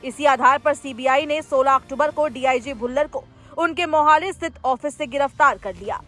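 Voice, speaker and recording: A woman's voice: high voice, normal speed, a bit bright. A woman news presenter delivering a scripted news report as narration. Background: background music.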